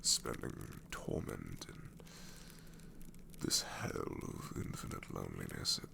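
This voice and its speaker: A man's voice: extremely low pitch, takes it slowly, creaky, background noise, exaggerated voice. A man voicing a sassy, married male shadow demon in an ASMR roleplay, talking sarcastically and drawling, often dropping into whispers. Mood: wry.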